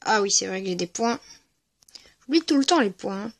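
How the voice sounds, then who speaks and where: Casual gameplay commentator with a mid-to-high voice, hesitant and rambling delivery, very dry recording. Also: expressive, normal speed, vocal fry, dry recording. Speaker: gaming video creator. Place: at home, voice-over on mobile gameplay recording.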